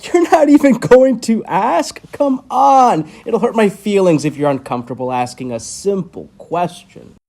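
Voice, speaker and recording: A man acting in a horror audio play as a controlling, condescending doctor with an imperious, deliberate delivery. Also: high voice, lively intonation, creaky, a little mellow, heavily acted. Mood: mock hurt. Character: menacing, controlling male conversion doctor, cold and condescending.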